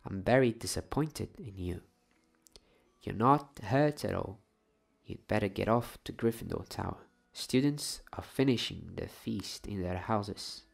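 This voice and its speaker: A man voicing a stern older woman professor in a storybook reading, scolding in a measured way. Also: fairly low pitch, lively intonation, gravelly, husky, exaggerated voice. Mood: stern, disappointed.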